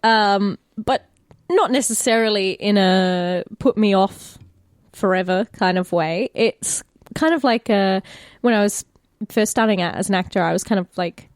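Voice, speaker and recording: Australian woman, mid-range pitch, casual, hesitant, thinking aloud, podcast chat, dry sound. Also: a little slow, dry recording. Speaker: podcast guest, tabletop player and actor.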